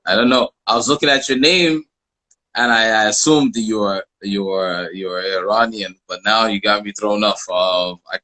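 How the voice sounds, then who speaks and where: A man with a fairly low voice hosts a live interview in a casual style. He talks hesitantly, often circling back to repeat a phrase. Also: moderate pace, sharp tone, poor audio. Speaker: host of live art interview show.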